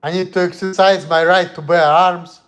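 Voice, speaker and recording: A man's voice: high-pitched, steady tone, moderate pace, fairly bright tone. A man from Russia with a Russian accent, talking bluntly and heatedly on a casual livestream in broken English. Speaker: streamer.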